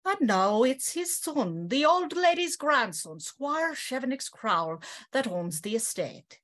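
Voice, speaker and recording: A woman's voice: high voice, big pitch swings, a little slow, fairly bright tone, full character voice. A woman playing a secretive older servant telling tales in rustic, old-fashioned phrases for a gothic audio drama. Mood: hushed storytelling. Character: knowing, gossiping older female servant, secretive storyteller.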